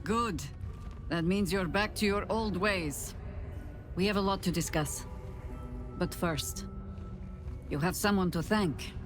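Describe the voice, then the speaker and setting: A woman's voice: on the low side, takes it slowly, long pauses, over music. An actress playing a stern, battle-hardened woman leader in a video game, speaking in imperious, dramatic commands. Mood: dry, relieved.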